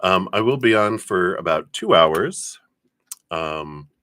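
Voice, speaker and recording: Male livestream host, very low voice, congested sinuses, dry close sound, chatty and rambling. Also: expressive, takes it slowly, pushed and bright, no echo. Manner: friendly, rambling, frequent fillers and throat clearing. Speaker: tarot reader hosting a livestream. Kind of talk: casual livestream chat.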